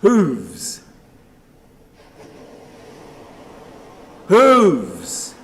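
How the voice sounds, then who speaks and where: An actor playing a haunted, restless man in a radio play monologue, halting and repetitive, moving between muttering and command. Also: a little high, heavily acted. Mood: commanding, agitated. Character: haunted, rambling man talking to his dead father, brooding and restless. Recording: clearly noisy, steady ambient sound.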